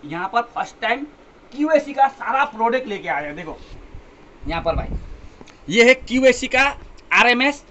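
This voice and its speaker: High-voiced young man presenting audio gear. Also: expressive, moderate pace, pauses often, sharp tone, a little hiss or hum, low-quality recording. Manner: emphatic, repeats phrases, addresses viewers as brother. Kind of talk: casual product showcase to camera. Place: indoor electronics showroom.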